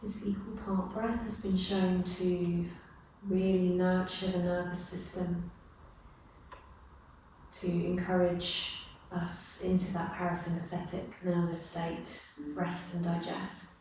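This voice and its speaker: A woman yoga teacher leading a guided breathing meditation with soothing, measured instruction. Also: on the low side, flat delivery, a little hiss or hum. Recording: very echoey room, distant mic, loud music. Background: music.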